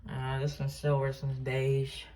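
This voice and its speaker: A man's voice: medium pitch, completely flat delivery, a little mellow. A man giving a casual, hands-on show-and-tell as a collector, rambling and often correcting himself as he goes. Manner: halting, rambling, self-correcting. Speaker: radio collector showing a collection. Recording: noisy room with clicks.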